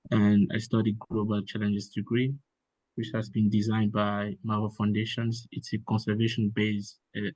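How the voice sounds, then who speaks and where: A man's voice: fairly low pitch, warm tone, dry recording, rough recording. A young man with a West African accent, speaking English as an additional language, formally introducing himself as an online panelist. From Guinea, West Africa. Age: young adult. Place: indoor room, video call. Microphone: close to laptop on video call.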